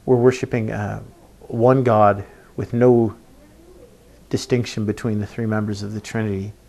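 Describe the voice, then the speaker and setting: Man preaching informally, creaky voice. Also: fairly low pitch, level tone, warm tone. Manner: halting, thinking aloud, often adds "right?" to check. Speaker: preacher teaching a Bible passage. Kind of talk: informal expository sermon.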